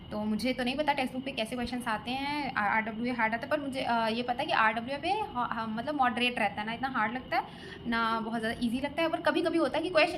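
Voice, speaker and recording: A woman's voice: on the high side, fairly bright tone. Chatty young woman from Lucknow, noisy room. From Lucknow, India. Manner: chatty, hesitant, many fillers and restarts. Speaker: exam aspirant vlogger. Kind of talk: casual vlog answering viewer comments. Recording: audible background noise.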